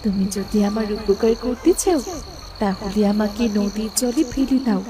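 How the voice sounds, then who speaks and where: A woman voicing a kindly magic mirror in a children's fairy-tale cartoon, gentle and consoling, with formal diction. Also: medium pitch, normal speed, crowd noise, close to an everyday voice. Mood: gentle, serene. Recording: music clearly behind the voice.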